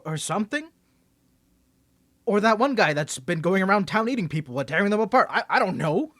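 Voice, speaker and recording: A man's voice: sits high, fairly bright tone, no room sound, heavily acted. A man voice acting an ASMR roleplay as a cocky young superhero with a secret identity, stammering and teasing as he swings between confidence and nerves. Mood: startled, flustered.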